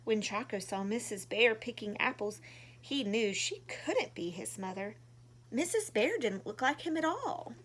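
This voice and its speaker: A woman doing an animated read-aloud for young children as a storytime reader. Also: a little high, measured pace, slight background noise.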